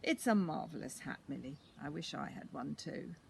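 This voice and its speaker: Woman playing a gentle storybook mum, expressive. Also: low voice, moderate pace, creaky, background noise, pitched below the performer's own voice, full character voice. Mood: indulgent. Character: gentle, indulgent mother in a storybook.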